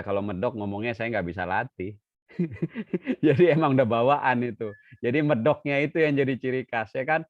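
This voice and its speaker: A man giving an online seminar talk as a trainer, lively and joking, sometimes acting out quoted dialogue. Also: mid-range voice, no room sound. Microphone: video call. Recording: dry, dull lo-fi video call audio.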